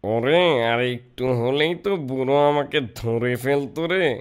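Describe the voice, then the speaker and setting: Guy voicing a poor scared rookie crook in a cartoon, anxious pleading delivery, average pitch. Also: unhurried, smooth, lightly acted. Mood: alarmed. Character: timid, hard-up husband turned apprentice thief. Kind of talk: cartoon voice acting.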